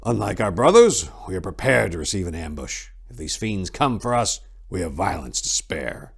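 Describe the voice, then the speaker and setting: A man's voice: deep voice, very animated, moderate pace, gravelly, slightly rough, full character voice. Man voicing a resolute, commanding warrior monk. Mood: commanding, confident. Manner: commanding, grave, emphatic.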